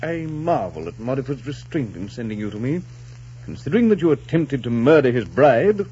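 An actor playing a stately, dryly amused English king, formal and measured, in 1940s radio drama style. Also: mid-range voice, big pitch swings, dark tone, slight background noise, lightly acted. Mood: dryly curious. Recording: muffled 1940s radio recording.

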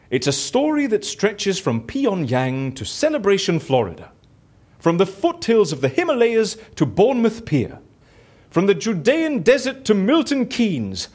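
A man's voice: fairly high pitch, darkish tone, full character voice. A man acting in an audio drama as a bored, boastful, theatrical raconteur who talks chattily and self-importantly. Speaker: lead character in audio fiction.

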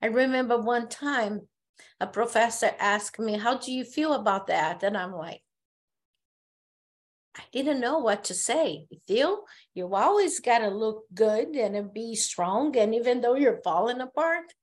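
A woman from Brazil with a Brazilian Portuguese accent, a therapist speaking as a podcast guest, telling her story earnestly with halting restarts. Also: fairly high pitch, animated. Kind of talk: casual conversation.

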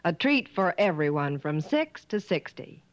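Animated vintage radio woman reporter. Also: low voice, a little slow, dry recording. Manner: polished, gushing. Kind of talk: 1950s scripted promotional radio patter. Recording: muffled 1950s radio broadcast.

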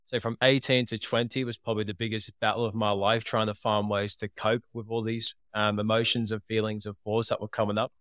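Low, smooth, even-toned man from England. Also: a little fast, hardly any pauses, no echo, studio quality. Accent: Liverpool, living in Australia. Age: 20s. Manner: earnest, steady storytelling. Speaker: podcast guest. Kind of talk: casual interview talk.

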